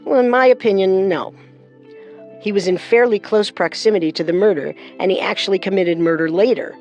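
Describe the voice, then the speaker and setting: Female true-crime co-host, medium pitch, deliberate scripted delivery, faint music bed. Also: a little mellow, muffled recording.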